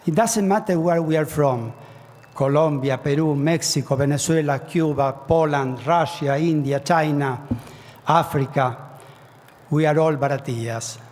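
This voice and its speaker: A fairly high-voiced man from Argentina speaks earnestly to a large congregation. Key phrases get fervent, rising emphasis. His voice carries a long reverb off the walls of a big hall. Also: a little slow, a little mellow. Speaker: speaker at a spiritual gathering. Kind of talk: devotional address to a large audience.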